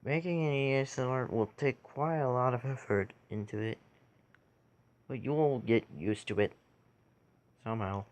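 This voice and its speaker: A man giving a casual how-to tutorial in a halting way, pausing between short phrases. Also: mid-range voice. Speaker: tutorial video creator.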